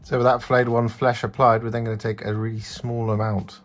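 A man's voice: medium pitch, level tone, a little fast. A man giving an instructional voice-over as the host of a painting tutorial. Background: background music.

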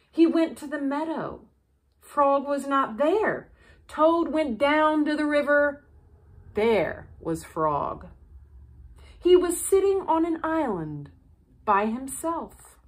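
High-voiced, slow woman reading a bedtime story. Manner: deliberate, expressive, with dramatic pauses. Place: living room with brick fireplace, indoors.

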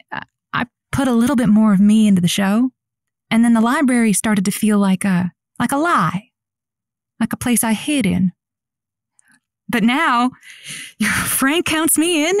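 Woman playing playful radio host, audio drama, highish voice, animated intonation, earnest, dry studio sound. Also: dry recording. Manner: playful, chatty, hesitant when flustered. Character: shy librarian turned chirpy, earnest radio advice host. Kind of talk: semi-scripted audio drama, naturalistic.

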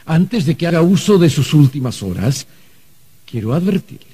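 An actor playing an exasperated, bureaucratic archangel in a radio drama, speaking formally and deliberately. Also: male voice, on the high side, very animated, dark tone, slightly rough. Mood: stern, exasperated. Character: officious, exasperated male archangel keeping records of the dead. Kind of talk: old-fashioned radio drama acting.

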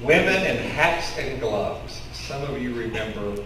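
A man's voice: medium pitch, expressive, unhurried, noisy background. A middle-aged man with a Kentucky accent preaching a sermon to his congregation, telling stories and gesturing as he speaks. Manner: conversational storytelling preacher, gestures with open hands. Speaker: pastor. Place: church sanctuary in front of a curtain. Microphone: stand mic with headset mic, filmed from the congregation. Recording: echoey sanctuary, voice from a distance.

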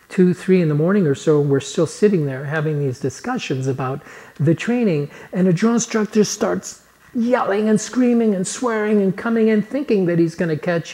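A man's voice: on the high side, darkish tone. A man in his fifties, born in Chile, telling a personal story in an earnest motivational talk to camera, recorded on a lapel mic in a living room. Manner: earnest storytelling, gestures with hands. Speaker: personal coach. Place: living room, on a couch indoors.

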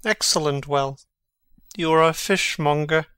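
A man's voice: on the high side, a little slow, fairly bright tone, clear, resonant tone, no room sound. A man playing a mocking, brooding prince who feigns madness, theatrical and sardonic in a Shakespearean dramatic reading.

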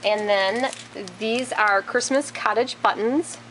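A woman's voice: on the high side, slow pace, fairly bright tone, slight background noise, low-quality recording. A woman hosting a casual product walkthrough in a large warehouse, plainly naming each item one by one while she films from behind the camera. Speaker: store host showing products. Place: large warehouse with wire shelving. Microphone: handheld camera, speaker behind it.

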